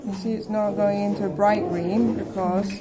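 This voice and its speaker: A woman's voice: mid-range voice, fairly even intonation, normal speed, heavy background noise. A woman teaching a hands-on art class, thinking aloud step by step and putting questions to her learners. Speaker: art teacher demonstrating colour mixing. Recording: loud chatter of people behind.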